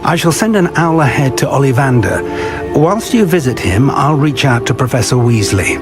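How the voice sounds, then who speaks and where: An actor playing a courteous, concerned wizard professor in a video game, with a formal way of speaking. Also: male voice, mid-range voice, expressive, moderate pace, over music, natural voice. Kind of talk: video game dialogue acting.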